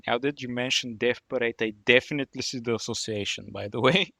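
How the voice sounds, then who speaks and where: A man co-hosting a podcast, asking questions in a measured, halting way with many uhs. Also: medium pitch, gravelly, sharp tone, no echo, clean studio sound. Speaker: podcast co-host. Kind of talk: casual podcast interview questions.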